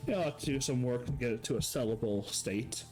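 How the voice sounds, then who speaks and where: A man narrating and running a tabletop game in a relaxed, descriptive way. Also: medium pitch, brisk, soft background music, poor audio. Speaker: game master.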